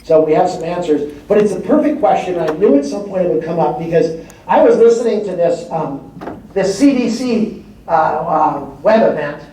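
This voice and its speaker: A man's voice: sits high, animated, normal speed, warm tone, slight background noise. A male physician giving a conference lecture to a large audience, emphatic and conversational, repeating words for stress. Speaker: physician presenter. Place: large hall before an audience. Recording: echoey hall from far off.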